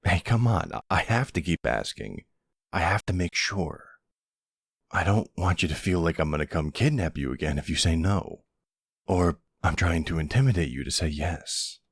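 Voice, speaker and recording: Mafia boss love interest, played by a man for ASMR roleplay: playful swagger, lively intonation, very close dry sound. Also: low voice, normal speed, no echo, full character voice. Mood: teasing, fond. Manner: playful, teasing, smooth-talking with tough-guy swagger. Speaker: voice actor in an audio roleplay. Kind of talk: intimate ASMR boyfriend roleplay acting.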